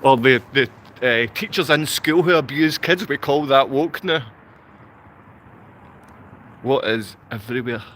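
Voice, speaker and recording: A Glaswegian man speaks off the cuff to a livestream audience. His pitch sits in an average male range. He rambles, circles back on the same phrases and keeps throwing out rhetorical questions. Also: unhurried, long pauses, a bit bright, a little hiss or hum. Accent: Glaswegian Scottish. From Glasgow, Scotland. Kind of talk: unscripted livestream monologue to viewers.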